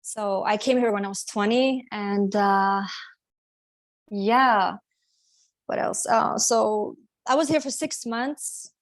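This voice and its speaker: A woman's voice: medium pitch, takes it slowly, long pauses, dry recording. A woman from Sweden, a guest telling her life story in a hesitant way, full of fillers and restarts. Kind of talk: casual personal storytelling interview.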